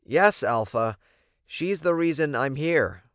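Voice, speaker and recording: A man's voice: a little high, expressive, takes it slowly, fairly bright tone, smooth, dry recording, voice pushed up. A man voicing a deferential, concerned male underling reporting to his leader in an audiobook. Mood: respectful. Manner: earnest, respectful, concerned. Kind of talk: audiobook character voice. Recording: muffled, dull recording.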